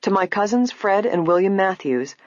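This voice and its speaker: A woman voicing a calm, courteous male lawyer in a story reading, even and formal. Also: medium pitch, moderate pace, dry recording, highs cut off, voice pushed up, close to an everyday voice. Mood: measured reading.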